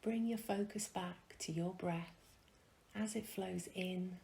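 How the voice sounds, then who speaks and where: Female meditation guide: very slow, gentle and hushed, some creak in the voice, faint noise behind. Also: on the low side, fairly even intonation. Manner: soft, soothing, gentle delivery with long pauses.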